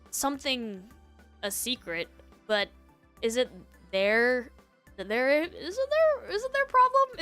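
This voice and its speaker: A woman hosting a livestream, chatting casually and reacting to online stories in a giggly, animated way. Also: a little high. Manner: giggly, animated, breaking into laughter. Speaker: livestream host.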